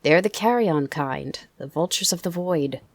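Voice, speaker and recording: A woman voicing a menacing dead man in a dinner jacket, with a South African accent and a sharp, coaxing, taunting way of speaking. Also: low voice, expressive, unhurried, a little hiss or hum. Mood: coaxing menace.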